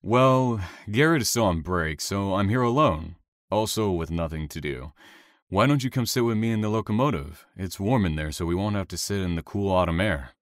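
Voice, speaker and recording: A man playing a reserved, quietly intense train operator with veiled menace in an audio drama, speaking in a measured, courteous way. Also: low-pitched, lively intonation, no room sound, studio quality. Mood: inviting.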